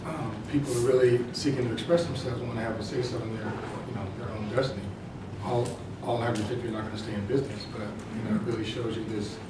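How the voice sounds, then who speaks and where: A man's voice: on the low side, fairly even intonation, fast, few pauses. A man speaking on a conference panel, thoughtful and working through his points. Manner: thoughtful, many fillers and restarts. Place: conference hall. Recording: echoey hall, distant mic, noise.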